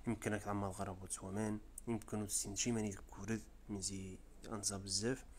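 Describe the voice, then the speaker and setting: A man's voice: fairly low pitch, flat delivery, a little hiss or hum. A man with a Riffian accent, from the Rif region of Morocco, giving an informal step-by-step tutorial as a voice-over. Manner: brisk, informal, step-by-step explaining. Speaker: tutorial presenter. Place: voice-over, not the pictured scenes.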